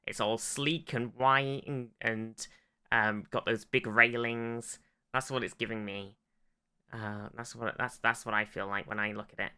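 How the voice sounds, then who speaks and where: A man's voice: mid-range voice, steady tone, a little slow, bright, forceful tone, smooth. A man co-hosting a podcast in casual, gushing fan chat, swearing and repeating words as he searches for phrasing. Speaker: podcast host.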